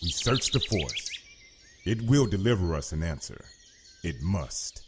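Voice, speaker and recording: A man playing a grave, commanding Jedi general in a dramatic audio comic, solemn and measured. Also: deep voice, very animated, background noise. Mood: grave, resolute.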